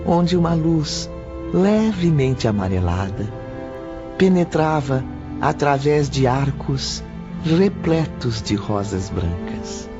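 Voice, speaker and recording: Male narrator, slow and lively, muffled with music. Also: a little high. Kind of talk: scripted audio drama narration.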